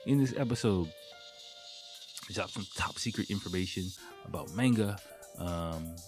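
A man's voice: low voice, normal speed, over music. A man hosting his own podcast in loose, rambling casual talk, with a stuffy nose from a cold. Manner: rambling, loose, with many ums and jokey sound effects. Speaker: podcast host. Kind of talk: casual solo podcast chat. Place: at home, late at night.

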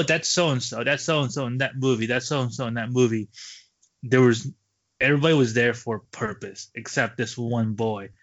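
A man co-hosting a podcast, talking casually about movies in a halting, loosely phrased way. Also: mid-range voice, a bit bright. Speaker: podcast co-host. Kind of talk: casual movie review chat. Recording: dull lo-fi podcast audio.

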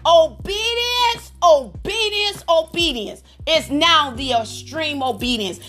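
Woman minister, high voice, bright tone, slightly raspy, fervent preaching. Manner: fervent, repeats praise phrases. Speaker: minister hosting a prayer call.

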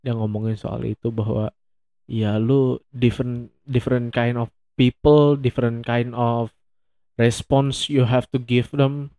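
A man's voice: mid-range voice, little pitch movement, slow pace, mellow, no room sound. A young man hosting a solo podcast, chatting casually in a rambling, self-mocking way and laughing often. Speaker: podcast host.

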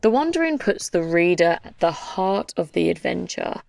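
A woman's voice: on the low side, sing-song, moderate pace, gravelly, a little mellow, no echo, distant mic. A woman hosting an interview show, reading scripted narration.

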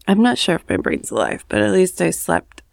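Hoarse, gravelly woman podcast host. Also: fairly low pitch, wildly swooping pitch, fairly quick, no room sound, clean studio sound. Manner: chatty, rambling with asides. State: hoarse, just woken up. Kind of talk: casual solo monologue. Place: home, early morning.

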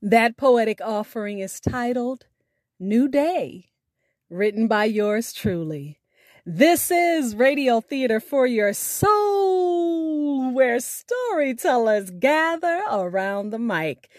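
A woman hosting a podcast, reading scripted announcements in a deliberate, carefully enunciated way. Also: a little high, big pitch swings, a little mellow, dry recording, clean studio sound. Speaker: podcast host.

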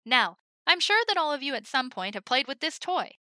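A woman voicing an exuberant young woman soldier who plays a booming showman for a crowd. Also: sing-song, moderate pace, sharp tone, no room sound, studio quality, exaggerated voice. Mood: showy. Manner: booming, theatrical barker delivery.

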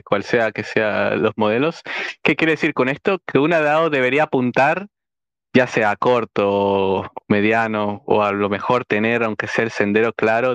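A man's voice: mid-range voice, fairly bright tone, clean tone, no room sound. A Venezuelan man whose accent has picked up Argentine traits, a guest explaining ideas in a casual online audio chat with many fillers. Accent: Venezuelan with Argentine touches. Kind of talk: casual podcast conversation. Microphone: audio space call.

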